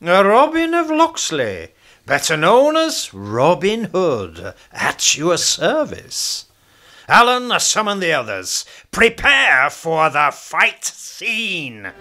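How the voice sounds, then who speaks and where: A cheeky, bold outlaw hero, voiced by a man in a homespun radio play. His voice sits high and swings widely, bright and forceful, and he takes his time over the lines. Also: huge pitch swings, slow pace, heavily acted. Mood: heroic. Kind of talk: amateur radio play acting.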